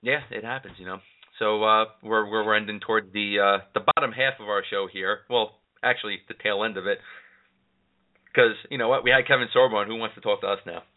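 A man's voice: on the low side, steady tone, fairly quick. A man hosting a chat show, talking casually and rambling with lots of fillers. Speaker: podcast host. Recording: tinny phone-line sound.

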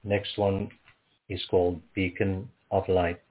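A man from Africa, reading his poems aloud in a measured, rhythmic way. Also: deep voice, little pitch movement. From South Africa. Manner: measured, rhythmic recitation with pauses. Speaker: poet. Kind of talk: poetry reading. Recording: tinny phone-like audio.

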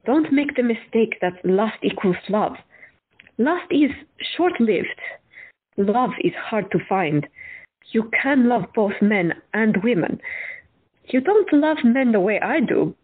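A woman's voice: a little high, lively intonation, slow pace, slightly rough, heavily acted. A woman playing a frank, teasing doctor in love, speaking candidly in an audio drama. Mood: earnest. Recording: tinny phone-like sound.

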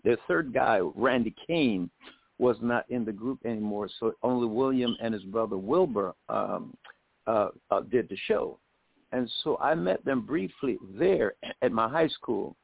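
A man's voice: medium pitch, gravelly. A man in his seventies from Jamaica, a singer, telling anecdotes as a guest in a radio interview. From Jamaica, later the United States. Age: 70s. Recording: phone-like, thin radio call.

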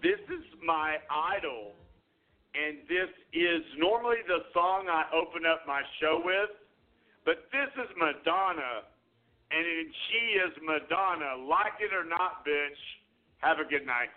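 A man hosting his own radio show, talking loudly and passionately and tripping over repeated words. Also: fairly high pitch, slow. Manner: loud, passionate, repeats words when excited. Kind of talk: call-to-action talk show sign-off. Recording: tinny phone-line sound.

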